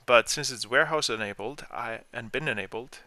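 A man explaining software step by step in an informal screen-share tutorial, as the trainer. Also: medium pitch, a little fast, no room sound.

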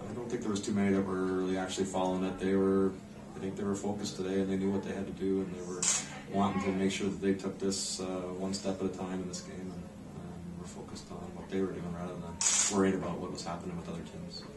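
A North American man in his forties, a coach answering post-game questions in a measured, understated way with hesitant pauses. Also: low voice, monotone. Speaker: head ice hockey coach. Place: indoor press room in front of a backdrop. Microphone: camera microphone at a distance. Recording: roomy, distant mic, clear background noise.

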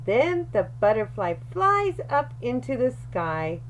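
A woman reading a children's picture book aloud in an expressive, deliberate storyteller's manner. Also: on the high side, a little hiss or hum, poor audio. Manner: animated, deliberate, with questions and asides to young listeners.

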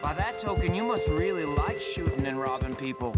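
Despondent young man voiced by a male actor, earnest and plaintive, high swinging pitch, under loud music with hoofbeats. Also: animated, brisk, natural voice. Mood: glum, hesitant. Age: young adult. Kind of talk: video game acting. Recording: loud music and horse hooves behind. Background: music, horse hooves.

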